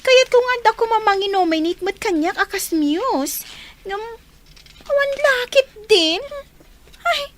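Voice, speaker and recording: A woman with an Ilocano accent playing a sly, excitable, cheeky young daughter in a radio drama. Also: very high voice, a little slow, pauses often. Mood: eager scheming.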